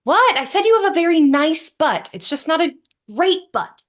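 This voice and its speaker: A woman's voice: very high voice, sing-song, normal speed, a bit bright, exaggerated voice. A woman playing a wry, exasperated young woman in a sitcom script read. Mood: teasing.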